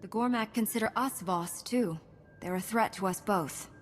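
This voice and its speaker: A principled young heroine played by a woman in a science-fantasy game. She is mid-pitched, sincere and plain-spoken, with music clearly playing behind her. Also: normal speed, natural voice. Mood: earnest.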